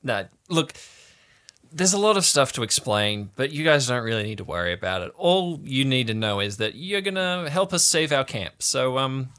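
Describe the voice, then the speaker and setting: A man's voice: medium pitch, big pitch swings, moderate pace, a bit bright, pitched above the performer's own voice. A man voicing a laid-back fifteen-year-old boy who runs a camp cult, with slangy, self-important dude talk, in a tabletop game. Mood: breezy, self-important.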